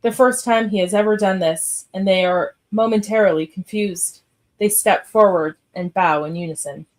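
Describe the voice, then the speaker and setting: Female narrator, medium pitch, reading screenplay action lines for a live audio drama. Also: fairly even intonation, normal speed. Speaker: narrator of a script read. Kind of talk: scripted stage-direction narration.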